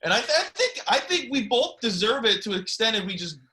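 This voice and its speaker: A man's voice: sits high, sing-song, fast talker, pushed and bright. A man in casual podcast banter, goofy and teasing. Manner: goofy, teasing, laughs a lot. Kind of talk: casual chat.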